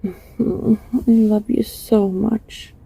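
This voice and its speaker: A woman playing a lovesick, desperately devoted former bully from the future in an intimate audio roleplay, speaking haltingly and emotionally in a soft, husky voice. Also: mid-range voice, level tone, full character voice, rough recording. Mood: tender, earnest. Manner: halting, emotional, breathy sighs and stammers.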